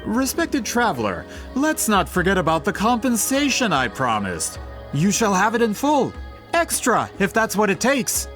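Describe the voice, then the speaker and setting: An actor playing a polite, earnest gentleman researcher in a video game, with formal, courteous phrasing. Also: high voice, huge pitch swings, normal speed, exaggerated voice. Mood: eager, courteous. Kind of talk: English video game voice acting. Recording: faint background music under the voice.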